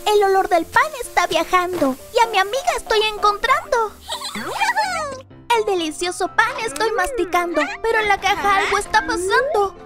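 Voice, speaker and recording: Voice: very high voice, moderate pace. A performer voicing a playful, adventurous young lion cub in a children's cartoon, speaking in sing-song, exclamatory rhymes. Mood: excited. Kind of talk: rhyming children's cartoon narration. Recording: loud music under the voice.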